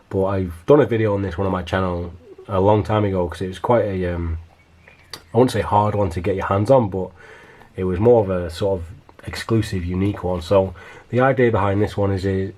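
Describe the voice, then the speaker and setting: A man with a hoarse, fading voice, chatting loosely through a show-and-tell of his collection as a vlogger. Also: sits low, darkish tone. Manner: rambling, loose and chatty, with frequent restarts.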